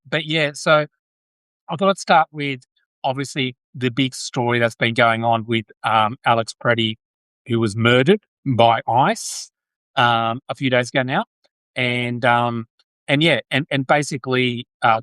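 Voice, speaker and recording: An Australian man hosting a casual live political commentary stream, speaking in a halting way and often restating his phrases. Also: mid-range voice, steady tone, a bit bright, no room sound. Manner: halting, repeats and restates phrases, breaks off to greet viewers. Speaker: podcast host, political commentator. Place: Brisbane, Australia.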